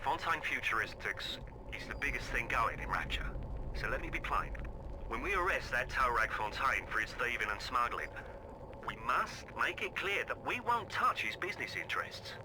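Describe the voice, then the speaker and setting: A man's voice: medium pitch, big pitch swings, normal speed, natural voice. Earnest Irish working man played by an actor, noisy audio. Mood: frank, regretful. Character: earnest working-class man pleading for his family. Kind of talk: video game voice acting over radio and audio diaries.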